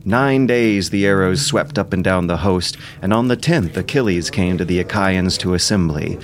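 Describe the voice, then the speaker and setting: A man's voice: deep voice, moderate pace, a little hiss or hum. A man delivering solemn, declamatory scripted narration of an epic in an audio drama.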